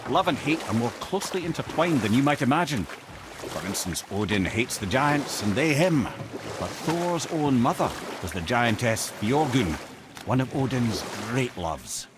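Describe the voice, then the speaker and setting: A learned, talkative old storyteller in a video game. The delivery is animated and theatrical, with archaic turns of phrase. Faint ambience sits behind the voice. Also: male voice, medium pitch, unhurried, noisy background. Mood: grave storytelling.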